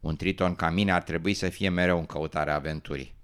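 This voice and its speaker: A man's voice: low-pitched, steady tone, fairly bright tone, clean tone. A man playing a young newt, an earnest, adventurous dreamer given to worry and doubt, in an educational radio drama. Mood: dreamy wonder.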